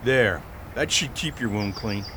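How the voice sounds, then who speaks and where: A man's voice: lowish voice, measured pace, fairly bright tone, a little hiss or hum. A man playing a kindly, folksy frontier doctor in an audio western. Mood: genial, helpful. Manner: genial, folksy. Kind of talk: amateur radio western acting.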